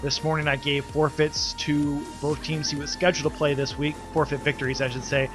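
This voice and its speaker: A man with a stuffy, congested voice gives a loose, halting recap as league organizer, with many ums. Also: on the high side, level tone, over music. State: congested, coming down with a cold. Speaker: league organizer and team player. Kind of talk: casual spoken recap.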